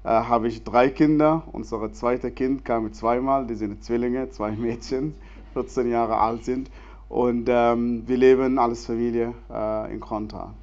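A man's voice: mid-range voice, fairly even intonation, unhurried, a little mellow, lo-fi sound. A man from Sudan speaking German, answering questions on a church stage in an earnest, hesitant way with frequent fillers. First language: Arabic. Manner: earnest, many hesitations, non-native grammar, laughs easily. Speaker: guest speaker, theologian. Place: church hall.

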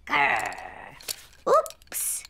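A performer voicing an expressive, imaginative and bossy little girl princess in a preschool cartoon. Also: extremely slow, slight background noise. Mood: playful, eager. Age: child. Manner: expressive, childlike, eager.

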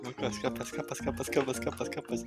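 A man chats casually with a friend during an online game. His voice sits at a middle pitch, and music is clearly audible behind it. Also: steady tone, brisk. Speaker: gaming companion on voice chat.

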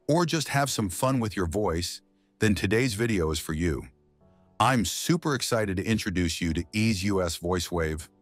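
A man hosting a scripted software tutorial, narrating as the presenter. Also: low voice, no room sound. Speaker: YouTube tutorial host.

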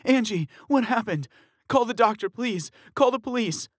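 A woman narrator voicing a panicking young man who shouts for help. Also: a little high, lively intonation, moderate pace, no room sound, studio quality, pitched above the performer's own voice. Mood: frantic. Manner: shouting, frantic.

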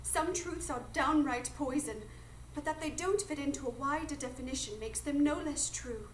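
A woman's voice: high voice, normal speed, close to an everyday voice. An actress playing an earnest, distressed genteel mother, formal and insistent, in a live radio drama. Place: live stage before an audience. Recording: distant echoey stage, faint noise.

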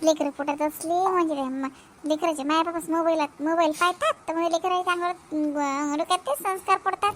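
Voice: very high voice, fairly even intonation, fairly quick, a bit bright, a little hiss or hum. A performer voicing a chatty village grandmother in a comedy cartoon, with exclamatory rustic Vidarbha Marathi chatter. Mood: gossipy, amused.

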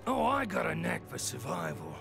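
A man's voice: a little high, big pitch swings, moderate pace, gravelly, music in the background, heavily acted. An actor playing a treacherous mercenary villain in a fighting game, taunting and gloating as he talks. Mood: gloating menace. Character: sneering, treacherous mercenary villain, an arms dealer.

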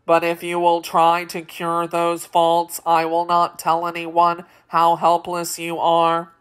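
Woman as a slow, stern clockwork man. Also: on the low side, completely flat delivery, clear, resonant tone. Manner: deliberate, matter-of-fact, admonishing. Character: stern, unemotional male clockwork machine man. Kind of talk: children's storybook character voice.

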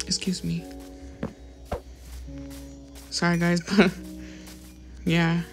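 A woman's voice: lowish voice, level tone, extremely slow, soft background music. A woman talking casually over her gameplay as a YouTuber, rambling and apologizing often, with many fillers. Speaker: gaming YouTuber.

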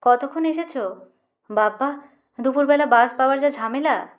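A woman's voice: high voice, moderate pace, pitched above the performer's own voice, lightly acted. A woman voicing an anxious, affectionate young woman who fusses and coaxes in an audiobook story. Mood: fussing. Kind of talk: audiobook character dialogue.

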